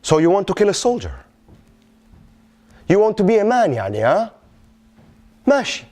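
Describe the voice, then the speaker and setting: A man's voice: high voice, very animated, fairly quick, darkish tone. A man performing a dramatic monologue as an anguished middle-aged Palestinian father, in Arabic-accented English, with emphatic repetition and pointed questions. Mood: anguished, scolding. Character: anguished Palestinian father pleading with his vengeful son.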